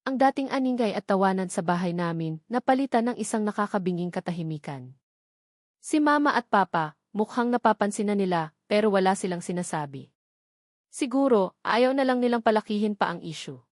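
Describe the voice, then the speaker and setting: Woman, dramatic story narration, first person, medium pitch, dry acoustics. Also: expressive, brisk, darkish tone, no echo. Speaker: narrator of a romance audio drama.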